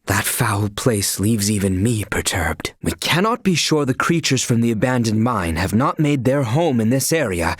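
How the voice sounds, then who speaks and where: A man's voice: lowish voice, husky, dry recording, studio quality. An actor playing a proud, loyal young male knight in a video game, speaking earnestly in formal, archaic courtly phrasing.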